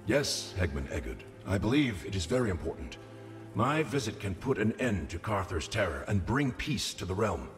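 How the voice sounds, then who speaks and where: Fantasy game hero lord: lowish, animated pitch, earnest command, music behind. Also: male voice, moderate pace. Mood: earnest, courteous. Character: resolute medieval lord, male bannerman leader. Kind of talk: video game cutscene acting.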